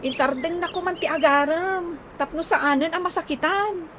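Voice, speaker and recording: Very high-pitched fretful little sister. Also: female voice, normal speed, fairly bright tone. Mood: exasperated. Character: young, fretful younger sister, gossiping about father. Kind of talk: Ilocano comedy radio drama acting. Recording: clearly noisy ambience behind.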